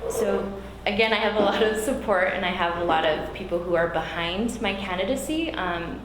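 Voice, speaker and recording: A woman running for a school board seat, whose first language is Spanish, answering questions earnestly with frequent pauses and fillers. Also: mid-range voice. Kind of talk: candidate interview. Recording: echoey room, background noise.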